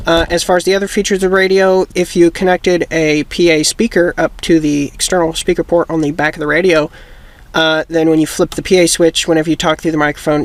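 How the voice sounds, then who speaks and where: A man hosting a how-to video, explaining things methodically, step by step, in a casual instructional style. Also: a little high.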